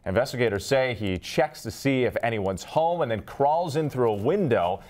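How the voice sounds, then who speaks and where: A man's voice: medium pitch, animated, moderate pace, darkish tone. A man with an American accent reading scripted television news as an anchor.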